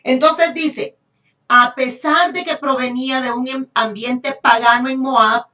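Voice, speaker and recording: A woman's voice: high-pitched, steady tone, a little slow, pushed and bright. A woman with a Honduran Spanish accent preaching a Bible lesson, emphatic, repeating phrases and checking in with rhetorical questions. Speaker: preacher teaching a congregation. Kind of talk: sermon, Bible teaching. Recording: echoey room, distant microphone.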